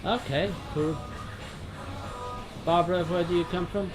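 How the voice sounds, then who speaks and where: A man conducts a casual interview in a chatty way. Music plays clearly behind his voice. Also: high voice, steady tone, a little slow.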